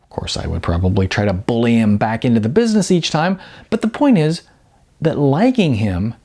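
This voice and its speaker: A man voicing a blunt, brisk female modeling agent in an audiobook, emphatic and patronizing, with chatty endearments. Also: medium pitch, very animated, dark tone. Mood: matter-of-fact, emphatic. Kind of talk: audiobook character dialogue.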